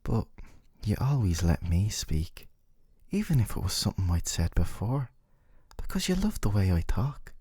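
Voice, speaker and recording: A man playing a gentle but possessive, smug dating-sim boyfriend in an ASMR roleplay, soft and coaxing, with articulate phrasing and teasing questions. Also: fairly low pitch, sing-song, normal speed, husky, no room sound, clean studio sound. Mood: adoring, smug. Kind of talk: scripted ASMR roleplay monologue to the listener.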